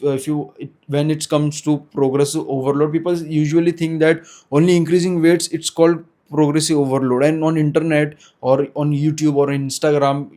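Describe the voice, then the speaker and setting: A man's voice: on the high side, dark tone. A young man in his twenties with an Indian English accent, a native Hindi speaker, talking hesitantly to camera about fitness and often restarting his sentences. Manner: hesitant, frequent restarts and self-corrections. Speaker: fitness vlogger. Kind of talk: unscripted talk to camera. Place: small room indoors.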